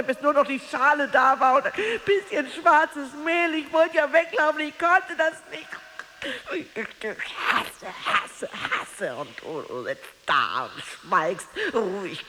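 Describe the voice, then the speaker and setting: A jealous married woman in a radio drama monologue. She is bitter and taunting toward her rival. Her delivery is theatrical and emotionally volatile, moving between hushed menace and open outburst. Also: high voice, slight background noise, poor audio. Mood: anguished, then cold contempt.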